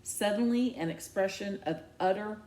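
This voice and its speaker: A woman narrating a children's novel aloud in a dramatic, expressive storytelling style. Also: medium pitch, moderate pace, poor audio.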